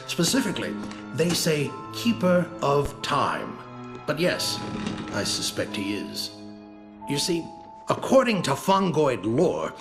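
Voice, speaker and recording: An actor playing a proud, gruff older alien general in a video game, with a dramatic, sardonic delivery. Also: male voice, medium pitch, reverberant. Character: gruff, proud older male Lombax general, evasive mentor. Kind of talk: video game cutscene acting.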